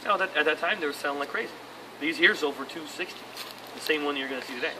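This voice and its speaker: Fast-talking man, far from the mic. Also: on the high side. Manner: rambling, repeats and restarts words. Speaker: real estate agent explaining condo conversions. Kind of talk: casual explanation on a property tour. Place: apartment complex outdoors, then a condo unit. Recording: distant voice with background noise.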